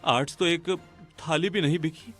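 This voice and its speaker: A man's voice: on the high side, measured pace, fairly bright tone, faint music behind. A man voicing an earnest, trusting young village husband in a cartoon. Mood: puzzled, worried.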